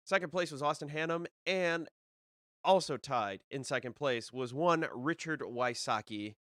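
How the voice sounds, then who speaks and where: A young man talks on his own as the host of a casual show, rambling and restarting his sentences. He sounds a bit nasal from a cold. His voice is smooth and resonant, with a dry, close sound and no room echo. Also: a little high, measured pace, a bit bright. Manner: rambling, with many fillers and restarts. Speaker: disc golf podcast host. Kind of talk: casual solo live-stream talk.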